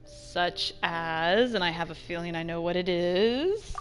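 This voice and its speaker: A woman's voice: on the low side, measured pace. A woman casually reacting and chatting expressively as she plays through a story game. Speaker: let's play streamer. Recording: game music behind.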